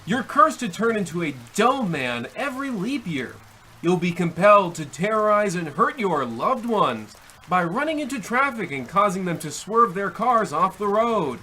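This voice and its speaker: A man's voice: high voice, sing-song, normal speed, bright, forceful tone, a little hiss or hum, exaggerated voice, rough recording. A man playing a bumbling trickster devil in a comedic radio play, theatrical and flustered as he haggles over souls. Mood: gleeful, scolding. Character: bumbling, nagged trickster devil striking soul deals. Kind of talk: comedic old-time radio play acting.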